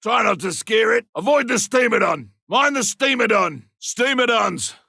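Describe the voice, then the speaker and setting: Game role: rugged Australian trapper, cocky and blunt, husky voice with a hard bright edge. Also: male voice, sits high, expressive, normal speed, no echo, clean studio sound, heavily acted. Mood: shouted warning. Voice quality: gravelly, rough. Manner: colloquial, blunt, forceful delivery. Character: gruff male monster hunter, rugged, cocky, seasoned trapper. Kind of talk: video game combat barks and callouts.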